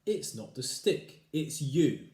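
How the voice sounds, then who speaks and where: A man voicing a cruel old husband who taunts his wife with loud, slow, gloating lines. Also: medium pitch, big pitch swings, pitched above the performer's own voice, natural voice. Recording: echoey room.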